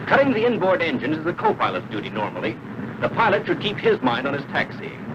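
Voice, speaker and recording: Lively 1940s training film narrator, man. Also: sits high, fast talker, lo-fi sound. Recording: noisy old 1940s film soundtrack.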